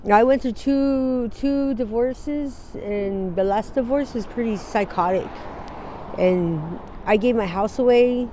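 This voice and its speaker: Woman, forties, from Wyoming, speaks unpolished and halting, wanders off mid-thought, laughs easily, street sounds behind her. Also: a little high, dark tone, slight background noise. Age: 40s. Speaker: interviewee. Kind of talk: candid street interview. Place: outdoors on a city street.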